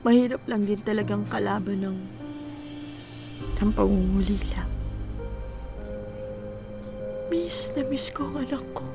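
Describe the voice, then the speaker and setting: A woman's voice: mid-range voice, moderate pace, over music, close to an everyday voice. A woman acting in a Filipino radio drama as an earnest, wistful widowed mother in her forties, weary after working overseas.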